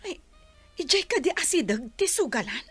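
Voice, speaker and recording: An actress playing a stubborn, carefree gambling wife in an Ilocano radio drama. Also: female voice, sits high, very animated, moderate pace, a bit bright, faint music behind. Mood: curious, scheming.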